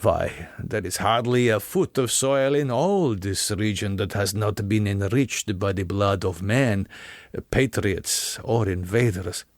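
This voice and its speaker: Old nobleman vampire, slow, deliberate, courtly, proud, menacing, gothic audiobook delivery, dry sound. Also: on the low side, no room sound. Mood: proud, stirring. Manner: slow, grandly formal, deliberate phrasing. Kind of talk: gothic audiobook character reading.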